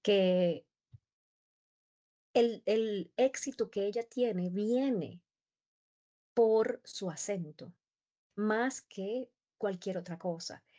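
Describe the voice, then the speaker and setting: A woman whose first language is Spanish tells stories with lively emphasis in a relaxed conversation. Her voice is mellow and warm rather than bright. The recording is slightly dull and lo-fi. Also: medium pitch, extremely slow, pauses often. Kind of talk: conversational interview.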